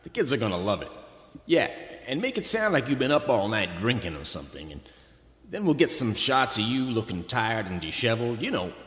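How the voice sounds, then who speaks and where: A man acts out a slick, greedy record boss from a comic radio play. His pitch leaps all over as he pushes and wheedles like a salesman. The sound is echoey, with long reverb. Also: big pitch swings, a bit bright. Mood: pushy, excited. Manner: fast-talking salesman patter with wheedling asides. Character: slick, pushy, greedy male record company executive.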